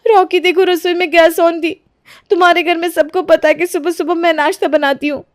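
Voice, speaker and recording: A woman playing a scheming, quarrelsome young wife in a melodramatic, accusing manner for an animated moral story. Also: extremely high pitch, normal speed, no room sound, clean studio sound. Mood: alarmed. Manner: accusing, melodramatic, self-righteous. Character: scheming, quarrelsome young married daughter-in-law.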